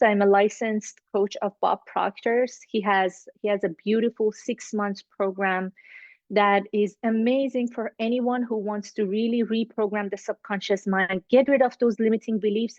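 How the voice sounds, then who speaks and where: A woman's voice: mid-range voice, dry recording, lo-fi sound. A woman from the Middle East, English not her first language, speaking as a mindset coach in an online networking circle, earnest and motivational, repeating phrases for emphasis. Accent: Middle Eastern. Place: home, video call. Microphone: video call.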